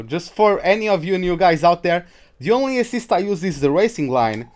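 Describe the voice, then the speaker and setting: High-voiced Portuguese-accented gaming streamer. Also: male voice. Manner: rambling, hesitant, frequent restarts and fillers. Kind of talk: live gameplay commentary and chat with viewers.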